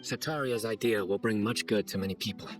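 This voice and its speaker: An actor playing a stern young official in a video game, speaking in a formal, deliberate deadpan. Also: male voice, lowish voice, close to an everyday voice. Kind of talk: video game cutscene voice acting. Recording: faint music behind voice.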